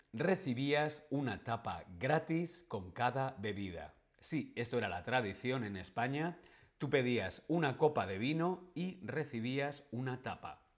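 A man teaching Spanish in a live stream, speaking slowly and clearly and repeating key words for learners. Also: medium pitch, lively intonation. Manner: reads viewer chat questions aloud. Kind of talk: live-streamed language lesson.

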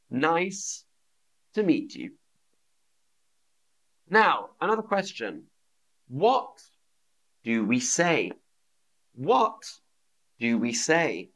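A teacher talks to learners in a live stream. His delivery is extremely slow and drawn out, and he repeats each phrase many times. His pitch sits in a middle range and swings up and down a lot. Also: fairly bright tone. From England. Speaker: English teacher.

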